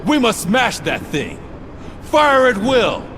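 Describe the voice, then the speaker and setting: An actor playing a hostile, scornful zealot in a video game, declaiming as if rallying others to attack. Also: male voice, wildly swooping pitch, slow, heavily acted. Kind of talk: video game cutscene acting. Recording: noisy rumbling background.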